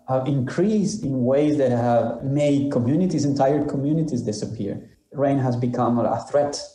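A man from Honduras with a Honduran accent, a native Spanish speaker, giving personal testimony for a film in a halting, reflective way. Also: mid-range voice, warm tone. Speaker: interviewee in a charity film. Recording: echoey room sound.

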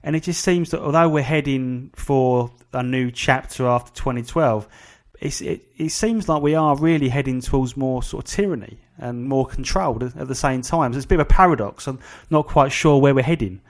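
A man's voice: medium pitch, fairly quick. A man hosting a conversational interview, thinking aloud in an informal, tentative manner. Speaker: interviewer, show host.

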